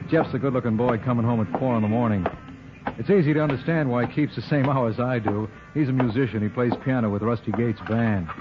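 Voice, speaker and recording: An actor in a 1950s radio drama playing a wry, worldly criminal lawyer with a blunt, wisecracking manner. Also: male voice, medium pitch, close to an everyday voice. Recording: noisy, dull old radio recording.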